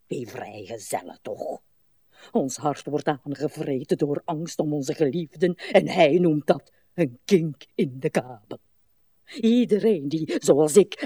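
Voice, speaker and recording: A weary old wife in a radio drama, imperious and easily offended. She stretches her phrases slowly and melodramatically, with lively rises and falls. The recording is very dry. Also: sits low, husky, dry recording, heavily acted. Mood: bitter, scornful. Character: weary, indignant old wife, theatrical and demanding. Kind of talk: radio play acting.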